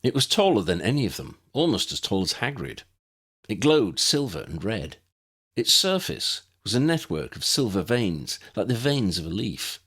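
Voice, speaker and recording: A man's voice: on the low side, big pitch swings, normal speed, fairly bright tone, breathy edge. A man reading scripted story narration as an audiobook narrator.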